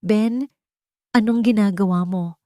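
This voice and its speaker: A woman's voice: medium pitch, a little slow, dark tone, dry recording, studio quality, voice pushed up, natural voice. A woman voicing a manipulative sister-in-law who pleads in a falsely pitiful way. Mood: alarmed.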